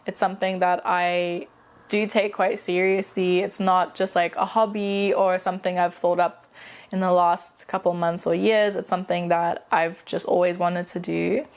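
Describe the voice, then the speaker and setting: A woman's voice: medium pitch, clear, resonant tone. A woman in her late twenties from South Africa, whose South African accent carries British and American traces, talking candidly in a casual personal vlog with frequent fillers. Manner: chatty and candid, with frequent fillers and self-corrections. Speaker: vlogger and aspiring novelist.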